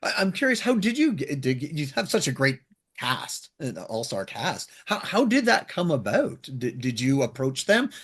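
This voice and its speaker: A man hosting a casual interview, enthusiastic and effusive, with a husky voice. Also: medium pitch, big pitch swings, moderate pace, a bit bright. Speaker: interviewer, host.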